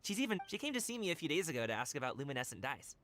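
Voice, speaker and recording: A woman's voice: low-pitched, big pitch swings, rapid-fire, fairly bright tone. A woman playing an earnest, friendly young male guard in a video game, with music playing behind. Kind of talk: video game dialogue acting.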